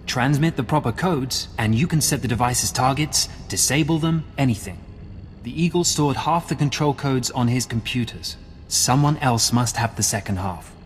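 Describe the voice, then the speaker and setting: An actor playing an earnest, eager field agent giving a brisk report. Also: male voice, mid-range voice, long reverb tail, soft background music, natural voice.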